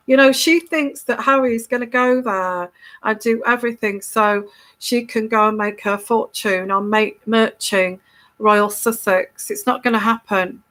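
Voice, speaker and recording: A woman's voice: a little high, fairly bright tone. A woman with a stuffy head cold, talking casually to her viewers as a tarot reader, often tagging her points with "okay?" and restarting words. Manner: chatty, keeps tagging "okay?", many restarts. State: congested from a cold. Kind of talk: casual solo video monologue.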